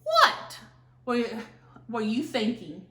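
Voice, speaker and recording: A woman's voice: a little high, huge pitch swings, slow pace, pushed and bright, heavily acted. A woman reading a storybook voices a thoughtful young classmate explaining things earnestly. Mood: musing. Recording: echoey room.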